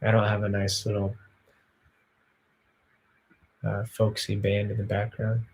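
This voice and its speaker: A young man talks casually in a quiet, low-key voice. He sounds like an amateur musician chatting between songs. The recording is made at home in a small bedroom, with the mic about an arm's length away. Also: monotone, measured pace, vocal fry, breathy edge, low-quality recording. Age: young adult. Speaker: amateur singer and blogger.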